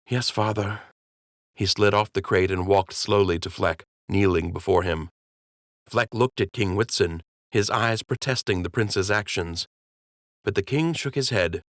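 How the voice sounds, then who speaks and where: A man narrates a children's adventure audiobook in a steady, clear storytelling manner. His voice is low-pitched. The recording is dry, with no room sound. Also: no room sound.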